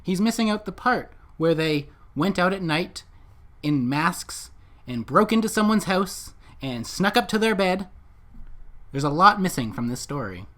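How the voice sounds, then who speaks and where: A commentator delivering scripted video commentary and reading from documents, with rhetorical, emphatic and deliberate phrasing. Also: medium pitch, a bit bright. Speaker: commentator and narrator.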